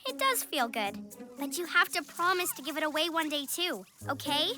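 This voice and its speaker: An actor playing an excitable young superhero child in an animated children's cartoon, exclamatory and wide-eyed. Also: high-pitched, normal speed. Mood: kind, encouraging. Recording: loud music behind. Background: loud cartoon score.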